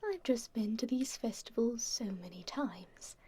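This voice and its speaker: Girl doing a sweet teasing stranger lady for asmr roleplay, kinda high but super breathy, slow and gentle, whispery. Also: animated. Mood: wistful, curious. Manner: gentle, unhurried, softly teasing. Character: kind, teasing female stranger caring for a festival-goer. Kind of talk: ASMR roleplay, intimate personal attention.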